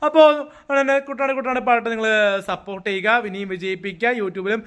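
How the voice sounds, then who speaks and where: High-voiced man promoting something. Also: quick pace, clean tone. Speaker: song promoter. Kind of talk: casual promotional talk with fillers.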